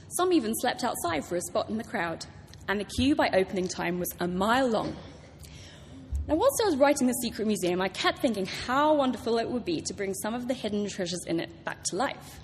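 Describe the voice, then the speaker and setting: A British woman author giving a prepared talk to a live audience, telling stories with enthusiasm. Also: a little high. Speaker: author introducing a public reading. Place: large hall at a public library event. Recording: reverberant hall, clear background noise.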